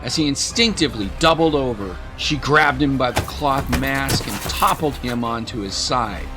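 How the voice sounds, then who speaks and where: A man's voice: medium pitch, normal speed. Male audio drama narrator over music. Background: music bed.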